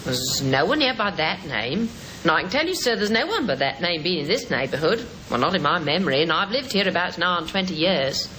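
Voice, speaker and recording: Prim older resident, lowish animated voice. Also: female voice, fairly quick. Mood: polite, firm. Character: older woman resident, firm and suspicious. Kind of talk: 1950s radio acting. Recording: noisy old radio recording.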